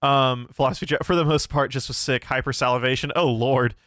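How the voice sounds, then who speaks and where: A man's voice: mid-range voice, steady tone, brisk, fairly bright tone, no room sound, clean studio sound. A young man hosting a philosophy livestream, answering chat questions in a casual, thoughtful way with many fillers and restarts, his voice a bit nasal. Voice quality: somewhat nasal.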